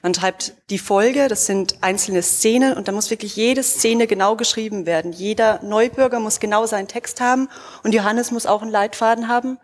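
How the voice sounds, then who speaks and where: A woman's voice: medium pitch, normal speed. A young woman gives an informal explanation into a handheld microphone in an auditorium. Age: young adult. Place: auditorium, among the audience.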